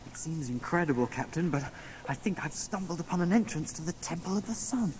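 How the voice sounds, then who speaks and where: A man's voice: a little high, animated, fairly quick, a little mellow, lightly acted. An actor playing a plucky, earnest young male adventurer with an English accent in a radio drama. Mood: earnest, determined. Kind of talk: radio drama acting with narration. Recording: noisy radio drama sound effects behind.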